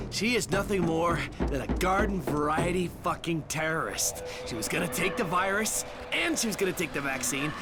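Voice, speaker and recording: A man playing a sneering, foul-mouthed captive villain who taunts his captors in a video game cutscene. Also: high voice. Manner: mocking, taunting, profane. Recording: loud music under the voice.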